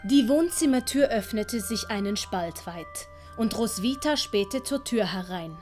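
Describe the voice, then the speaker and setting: A woman's voice: mid-range voice, animated, unhurried, music in the background. A woman narrating a scripted audio drama as a storyteller.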